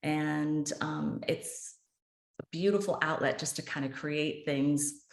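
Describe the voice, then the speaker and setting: A woman's voice: low voice, steady tone, slow, gravelly, echoey room. A woman in her fifties, a guest author on a podcast, speaking earnestly and with passion. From United States. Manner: earnest, impassioned, speaks with conviction. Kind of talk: podcast interview.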